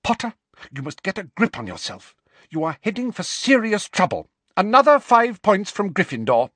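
A man's voice: medium pitch, big pitch swings, unhurried, breathy edge, no room sound, pitched above the performer's own voice, exaggerated voice. A man voicing a stern, strict older female professor who speaks sharply and tartly. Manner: sharp, tart and clipped.

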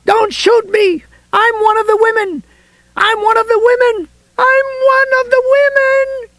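A man's voice: very high voice, flat delivery, unhurried, a bit bright, no room sound, voice pushed up, heavily acted. A man voicing a distraught, furious woman in an audiobook story, fierce and tearful. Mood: frantic screaming. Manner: fierce, tearful, screaming when roused. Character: distraught, furious young mother, grieving friend turned fanatical.

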